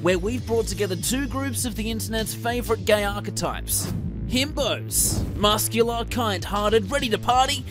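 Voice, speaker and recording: A performer playing a suave, mysterious relationship expert who narrates a reality show with arch, deadpan teasing. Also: mid-range voice, natural voice. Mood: grand, playful.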